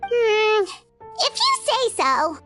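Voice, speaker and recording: A woman voicing a small, chatty, excitable and opinionated childlike companion sprite in a video game. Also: extremely high pitch, very slow, soft background music, heavily acted. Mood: doubtful. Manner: refers to self in third person, expressive. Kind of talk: scripted video game voice acting.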